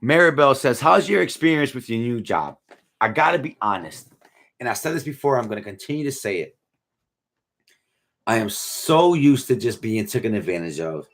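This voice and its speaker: A tired man in his forties talks loosely through a live stream, his husky voice swinging up and down as he reads out comments. He sounds laid-back and slangy, yawning through it all. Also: medium pitch, normal speed. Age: 40s. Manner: laid-back slangy, drifts from mumbling to excited. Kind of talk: casual live chat reading comments.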